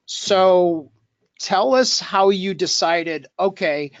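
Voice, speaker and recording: A man hosting a casual interview, talking in a halting, rambling, personal way. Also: high voice, dry recording, dull audio. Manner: halting, rambling, self-disclosing. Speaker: host interviewing a guest.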